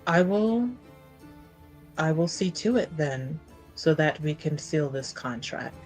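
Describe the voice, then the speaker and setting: A woman voicing a composed, scheming noblewoman in a fantasy tabletop game, with formal and deliberate phrasing. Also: low-pitched, expressive, a little mellow, soft background music, pitched below the performer's own voice. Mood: cool, resolved.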